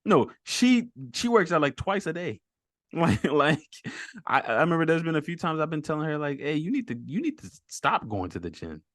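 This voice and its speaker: A man's voice: fairly high pitch, very animated, normal speed, fairly bright tone, no room sound, clean studio sound. A man hosting a casual fitness interview over a video call, upbeat and encouraging, with easy slang. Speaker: host.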